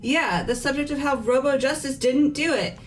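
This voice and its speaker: A woman's voice: high voice, normal speed, faint music behind, lightly acted. A woman voicing a brash, stubborn young student with a blunt, casual way of talking in a fan-dubbed game. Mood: argumentative. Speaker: amateur voice actor in a group playthrough. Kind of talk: fan dub of a visual novel game.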